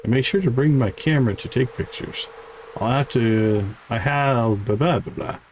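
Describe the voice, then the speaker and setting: A man's voice: medium pitch, moderate pace, darkish tone, a little hiss or hum. A man livestreaming a game, reading character dialogue aloud with stumbles and short asides. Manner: reads on-screen text aloud with stumbles and asides. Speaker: game streamer.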